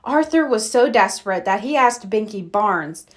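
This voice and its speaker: A woman narrating a children's storybook aloud in an expressive read-aloud style. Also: medium pitch, moderate pace. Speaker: storybook reader.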